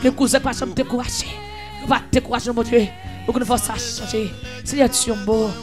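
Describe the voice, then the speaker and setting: A man leading an impassioned prayer, pleading and repeating phrases, half singing and at times shouting. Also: very high-pitched, fairly even intonation, a little fast, over music. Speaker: prayer leader. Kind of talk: fervent chanted prayer.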